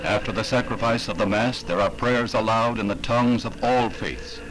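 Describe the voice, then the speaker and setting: An American man reading scripted 1960s newsreel narration in a solemn, measured, grandiloquent manner, on a vintage film soundtrack. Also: fairly low pitch, steady tone, noisy background. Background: solemn music under the narration.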